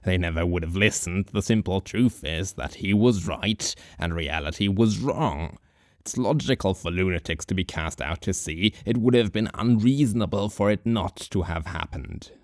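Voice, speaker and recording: A deep-voiced, rambling old drunk of a hobo sage, voiced by a man, holding forth in a slow, musing monologue. He chuckles dryly between his thoughts, and the sound is close and dead-dry. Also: darkish tone, no echo, natural voice.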